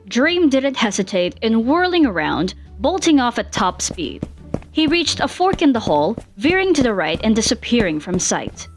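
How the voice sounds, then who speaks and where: A woman's voice: on the high side, very animated, moderate pace, faint music behind. A woman working as narrator, reading a fan fiction story aloud in a dramatic storytelling style.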